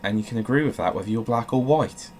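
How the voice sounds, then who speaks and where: A man's voice: mid-range voice, fast talker, darkish tone, slight background noise. A man hosting with a dry, self-mocking manner, moving between theatrical storybook reading and casual, sweary rambling. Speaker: host of a gaming channel.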